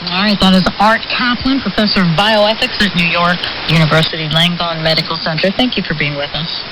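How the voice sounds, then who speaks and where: A woman's voice: lowish voice, steady tone, brisk. A woman working as a news radio host, interviewing and reading news over shortwave. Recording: noisy shortwave radio reception.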